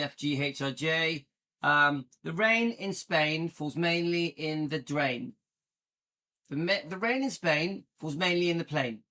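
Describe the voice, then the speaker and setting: A middle-aged man, hosting a casual live stream and chatting with viewers, rambling with a playful edge. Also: sharp tone. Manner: chatty and rambling, jumps into a higher playful pitch when joking. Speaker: YouTube channel host.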